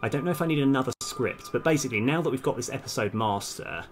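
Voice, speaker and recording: A man's voice: mid-range voice, expressive, fast, soft background music. A man doing casual live-stream commentary as a game developer, thinking aloud in a hesitant, self-correcting way.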